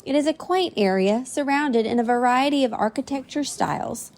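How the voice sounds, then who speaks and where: A woman voices a courteous AI voice assistant with a slightly high, even tone. She speaks in tidy, informative sentences like a cheerful field reporter. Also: normal speed. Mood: polite. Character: polite female AI voice assistant, reporting. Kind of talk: comedy podcast sketch.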